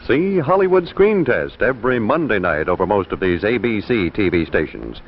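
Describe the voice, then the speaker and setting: Animated 1950s male announcer. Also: fairly low pitch, normal speed, slight background noise. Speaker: television announcer. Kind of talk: 1950s scripted promotional announcing. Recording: dull old 1950s broadcast.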